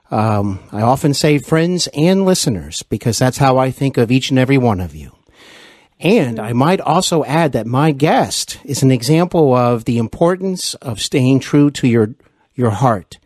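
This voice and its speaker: A man hosting a podcast, speaking courteously and deliberately to guest and listeners. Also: mid-range voice, a little mellow, no echo, studio quality. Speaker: podcast host. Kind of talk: interview hosting and sign-off address to listeners.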